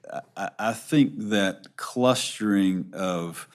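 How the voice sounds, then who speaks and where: Very deep-voiced friendly councilman. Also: clear, resonant tone. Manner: friendly, measured, courteous. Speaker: council member. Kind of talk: council discussion. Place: council chamber.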